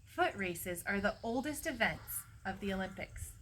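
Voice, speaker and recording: A woman's voice: mid-range voice, lively intonation, moderate pace, a bit bright, slight background noise, close to an everyday voice, poor audio. A woman voicing a proud, knowledgeable Greek man who guides a young visitor in a storybook, declarative and instructive. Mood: informative.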